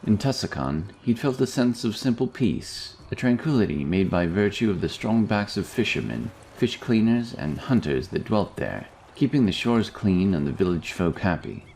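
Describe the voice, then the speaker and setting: Deep, gravelly male fantasy narrator. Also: lively intonation, normal speed, few pauses, a little mellow, a little hiss or hum. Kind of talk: scripted fantasy story narration. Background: music.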